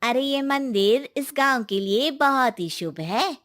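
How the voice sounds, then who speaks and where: A kind, secretive village woman acted by a woman in a cartoon folk tale. Her voice is high and bright, swinging widely in melody as she reassures in homely, colloquial words. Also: animated, unhurried. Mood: explaining. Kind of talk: cartoon moral-story acting.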